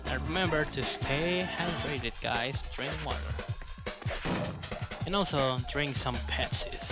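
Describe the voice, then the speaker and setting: Male speedrunner commentating over loud game music. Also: medium pitch, slow. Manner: non-native English phrasing, playful asides. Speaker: speedrunner commentating own run. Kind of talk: live speedrun commentary, casual. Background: loud video game music.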